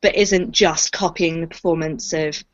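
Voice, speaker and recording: A woman with a slightly low voice speaks as a voice actress in a casual Q&A. She is reflective and expressive, leaning on key words. The recording is dull and lo-fi. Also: moderate pace. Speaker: voice actress in an audio drama. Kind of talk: casual Q&A chat.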